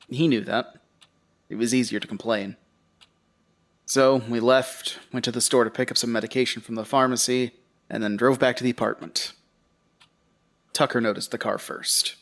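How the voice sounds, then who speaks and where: Casting: male actor for weary, uneasy man telling his horror story, conversational and halting, medium pitch, audio drama lead. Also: close to an everyday voice. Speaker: narrator and lead character. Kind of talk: audio drama first-person narration.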